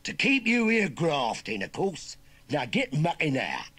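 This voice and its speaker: A man voicing a bullying, cocky young scarecrow boy with a Cockney accent, sneering and bossy, in a husky storybook voice. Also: high-pitched, wildly swooping pitch, brisk, no room sound, pitched above the performer's own voice, heavily acted. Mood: gloating threats.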